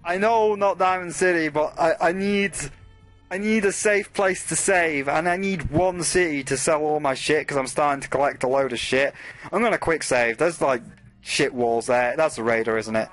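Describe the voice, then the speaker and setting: A man's voice: on the high side, moderate pace, fairly bright tone, faint music behind. A man hosting a let's play, rambling casually and swearing as he talks through the game and to his chat. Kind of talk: casual gameplay commentary.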